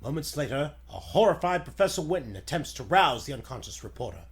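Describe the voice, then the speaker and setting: A man's voice: mid-range voice, big pitch swings, brisk. A man playing an urgent, theatrical narrator in the style of a 1940s radio serial. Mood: urgent, dramatic.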